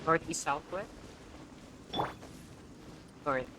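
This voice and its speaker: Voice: mid-range voice, extremely slow, background noise. A game streamer giving casual live commentary in muttered short reactions and swearing. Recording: game music behind the voice.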